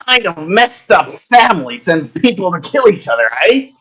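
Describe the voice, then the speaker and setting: A man's voice: high-pitched, very animated, normal speed, a bit bright, slightly rough, exaggerated voice, poor audio. A man playing a bitter, sneering character in a drama, talking with drawling contempt about others. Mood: scornful. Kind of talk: dramatic game-script acting. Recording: music behind the voice.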